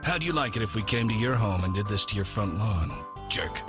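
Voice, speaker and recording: Male ad narrator, low and sarcastic, lively pitch, music bed clearly under. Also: a little fast. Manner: scornful, sarcastic. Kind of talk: scripted mocking ad narration.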